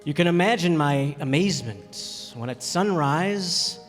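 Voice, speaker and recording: A man playing a stranded pilot who recounts his desert encounter in measured, thoughtful radio drama narration. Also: on the high side, lively intonation. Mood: earnest, wondering. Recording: echoey hall, music behind.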